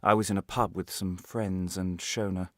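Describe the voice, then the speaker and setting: A man performing a radio monologue as a defensive, wry prisoner recounting his arrest, halting and correcting himself with sudden emphasis. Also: low voice, flat delivery, no room sound, studio quality, lightly acted. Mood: wry, hesitant. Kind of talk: dramatic radio monologue, confessional first-person narration.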